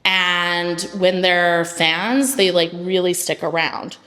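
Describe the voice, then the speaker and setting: Slow, casual woman panelist. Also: lowish voice, steady tone, pushed and bright, long reverb tail, rough recording. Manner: casual, uses "like" often. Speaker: founder and panelist. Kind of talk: panel discussion talk.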